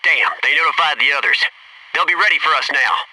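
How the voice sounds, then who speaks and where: A sharp, forceful Navy SEAL soldier. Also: male voice, on the high side, brisk, distant mic, a little hiss or hum, poor audio. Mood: urgent. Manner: clipped, tactical, matter-of-fact. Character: focused, businesslike male Navy SEAL soldier reporting in. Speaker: video game voice actor. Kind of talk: military game voice lines, terse radio callouts.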